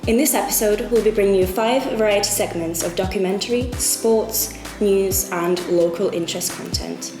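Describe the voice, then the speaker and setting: A woman's voice: medium pitch, normal speed, hardly any pauses. A woman hosting a student TV programme, reading a scripted intro with playful, mock-grand wording. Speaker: host. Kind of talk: scripted presenting. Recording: echoey room with music behind.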